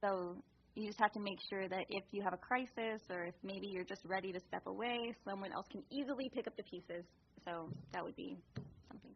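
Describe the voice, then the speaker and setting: A woman's voice: medium pitch, fairly quick, vocal fry, a little hiss or hum. A woman at a committee meeting, laying out her ideas with enthusiasm and many fillers, recorded in a meeting room. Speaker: committee member. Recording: dull lo-fi recording.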